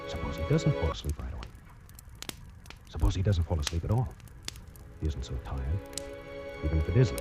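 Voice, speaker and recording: Desperate plotter confessing in halting suspense, very deep voice, 1940s radio thriller with heavy music underneath. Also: male voice. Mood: anxious, plotting. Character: tense, desperate man plotting a murder in first person. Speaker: first-person narrator and lead actor. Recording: old radio recording, loud dramatic music.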